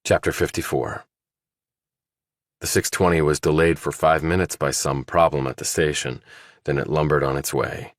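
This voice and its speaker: A man's voice: a bass voice, fairly even intonation, moderate pace, no room sound, clean studio sound. A man reading a scripted thriller audiobook as its narrator.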